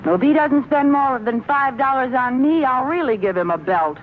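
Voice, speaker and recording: Gushy excitable housewife, old radio sitcom, real high voice, thin tinny recording. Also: female voice, normal speed, slight background noise. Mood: eager. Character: excitable, gushing housewife with an anniversary gift. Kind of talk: 1950s radio comedy acting.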